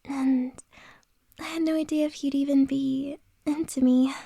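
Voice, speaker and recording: A woman's voice: sits high, steady tone, gravelly, no room sound, clean studio sound. A woman playing a whispering, flustered lady boss. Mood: tender, flustered. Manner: soft whispering, hesitant and halting. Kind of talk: ASMR roleplay.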